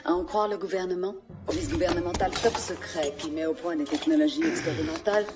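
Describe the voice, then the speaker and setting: A woman playing a poised, secretive recruiter in an animated film dub, speaking in a measured, formal way. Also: lowish voice, lightly acted. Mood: composed, secretive. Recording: distant voice under background music.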